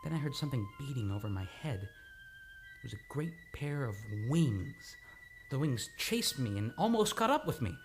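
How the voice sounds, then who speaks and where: A man playing a troubled, agitated amnesiac in an old-time radio drama, speaking haltingly and repeating his words. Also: mid-range voice, very animated, poor audio. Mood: haunted, intense. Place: radio studio, live radio play. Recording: dramatic music under the voice.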